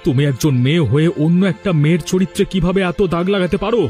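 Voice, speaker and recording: A man's voice: high-pitched, fast talker, a little mellow, soft background music, natural voice. A man playing an earnest, protective married son in a melodramatic village cartoon, with a pleading, reproachful manner. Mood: angry, reproachful. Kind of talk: melodramatic cartoon family comedy acting.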